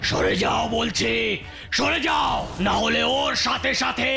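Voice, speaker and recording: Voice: mid-range voice, measured pace, vocal fry, exaggerated voice. An actor voicing a menacing bear chief who hates humans in a children's cartoon, in a threatening, declamatory manner. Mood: furious, threatening. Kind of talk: animated children's cartoon dubbing. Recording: loud background music.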